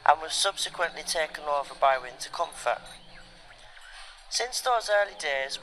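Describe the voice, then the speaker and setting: A man's voice: a little high, fairly bright tone, slight background noise, low-quality recording. A man reading a scripted documentary narration. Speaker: narrator and interviewer.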